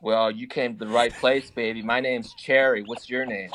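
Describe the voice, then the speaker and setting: A man voicing a sultry female phone-sex worker with a deep voice, flirting in a deadpan way. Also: moderate pace, natural voice. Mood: flirty.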